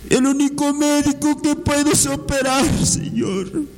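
A man's voice: extremely high pitch, monotone, slow, a little mellow. A man praying fervently and spontaneously in a pleading, impassioned manner, repeatedly calling on God. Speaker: worshipper leading prayer. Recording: long echoing hall reverb.

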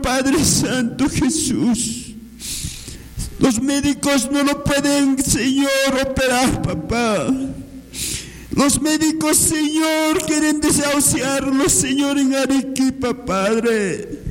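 A man's voice: very high-pitched, fairly even intonation, slow. A man praying fervently and spontaneously in a pleading, impassioned manner, repeatedly calling on God. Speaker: worshipper leading prayer. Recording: long echoing hall reverb.

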